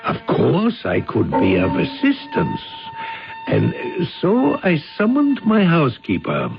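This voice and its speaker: Suave, theatrical older European count. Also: male voice, fairly high pitch, wildly swooping pitch, slow, vocal fry, exaggerated voice. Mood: musing. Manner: courtly, theatrical, teasing. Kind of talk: 1970s radio drama acting and narration. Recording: dull old radio broadcast with music.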